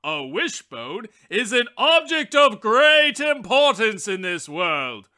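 A strutting, self-important little wishbone in a storybook, declaiming loudly and talking down to everyone around him, as a woman reads him. His voice is bright and swings widely in pitch as he boasts. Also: very animated, takes it slowly, exaggerated voice. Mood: boastful. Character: pompous boastful male wishbone, small.

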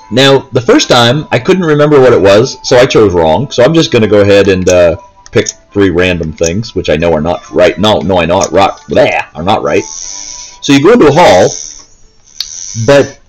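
A man's voice: lowish voice, animated, normal speed, rough recording. A man giving casual gameplay commentary, explaining step by step in a rambling way. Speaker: gameplay video host. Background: faint game music.

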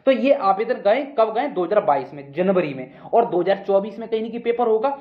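A man's voice: high voice, expressive, fairly quick. A man giving an informal, instructive explainer to exam candidates, often repeating himself and checking in. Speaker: exam news video presenter. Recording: roomy echo, dull muffled sound.

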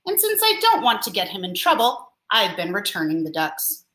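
A woman's voice: medium pitch, wildly swooping pitch, normal speed, bright, forceful tone, reverberant. A woman reading aloud as a sheepish male cat, apologetic and explaining himself.

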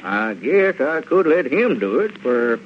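An actor playing a coaxing, smooth-talking rural circus manager with a Southern US accent, in old radio comedy style. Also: male voice, medium pitch, big pitch swings. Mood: reluctant, scheming. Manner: folksy, persuasive, halting with "well, now". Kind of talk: 1930s-40s radio comedy acting. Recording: muffled, noisy vintage radio recording.

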